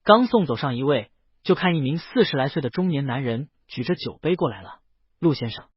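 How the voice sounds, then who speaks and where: A man's voice: medium pitch, animated, a little fast, breathy edge, no echo, dull audio. A man reading a story aloud as an audiobook narrator, in a dramatic, scripted storytelling style.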